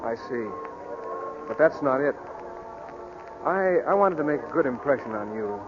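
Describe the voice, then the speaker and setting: An actor playing a well-bred young boss and suitor, polite, hesitant and earnest, in 1940s radio style. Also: male voice, medium pitch, expressive, moderate pace, over music, lightly acted. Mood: tender, earnest. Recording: muffled, hissy 1941 radio recording.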